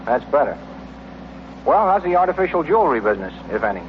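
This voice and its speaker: An actor playing a wry, teasing, easygoing brother-in-law in a 1940s radio comedy, delivered drily on a muffled, noisy recording. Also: male voice, on the high side, sing-song, fast talker. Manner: dry, wisecracking.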